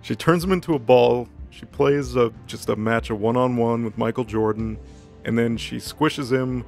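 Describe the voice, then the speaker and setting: A man casually retelling a story in a rambling, mock-serious deadpan, improvising dialogue for comic effect. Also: medium pitch, normal speed, gravelly, faint music behind. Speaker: co-host retelling a story recap.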